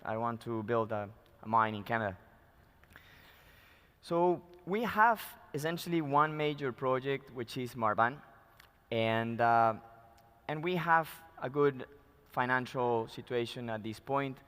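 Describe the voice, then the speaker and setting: Guy with spanish accent doing a business presentation, clear smooth voice, big reverby conference room. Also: mid-range voice, measured pace, a bit bright. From Peru. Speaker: mining company chief executive presenting. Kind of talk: live conference investor presentation. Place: large indoor conference room. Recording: echoey conference hall reverb.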